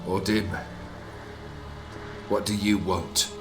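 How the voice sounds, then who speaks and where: A man's voice: low-pitched, fairly even intonation, slow pace, over music. An actor playing a proud, regretful nobleman in a video game, grave and dramatic in delivery. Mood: defiant.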